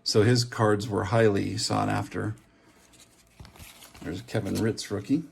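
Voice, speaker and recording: A man with a lowish voice and heavy vocal fry talks casually as a hobby host. He lists things off and muses aloud in an offhand way. Slight noise and small handling clicks sit behind him. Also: level tone, measured pace. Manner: offhand, reading out names and musing aloud. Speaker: host of a trading card opening channel. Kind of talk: casual running commentary while opening card packs. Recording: background hiss, handling clicks and card shuffling.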